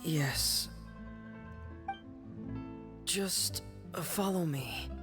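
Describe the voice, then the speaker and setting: A woman voicing a shy, hesitant teenage boy in a video game, with halting, timid delivery. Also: deep voice. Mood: nervous, evasive. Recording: game music playing behind.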